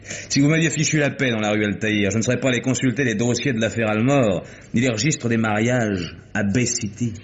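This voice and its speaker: An actor playing a cool, sardonic private detective in a French radio crime drama, speaking in a dry, measured, needling way. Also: male voice, medium pitch, natural voice. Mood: sardonic, cutting. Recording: dull, faintly noisy old radio recording.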